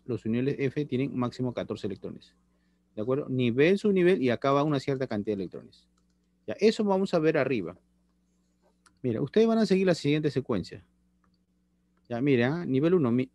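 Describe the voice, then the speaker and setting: A man's voice: medium pitch, expressive, normal speed, a little mellow, no echo. Man teaching chemistry. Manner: chatty, repeats phrases, checks in with students. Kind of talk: online class lecture. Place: small room indoors. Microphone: laptop webcam on video call.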